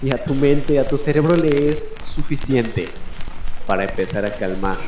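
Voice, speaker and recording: A man hosting a late-night mystery radio show, speaking in a theatrical, deliberate way with dramatic pauses. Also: mid-range voice, animated, darkish tone, a little hiss or hum. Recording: roomy echo, music behind. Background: music.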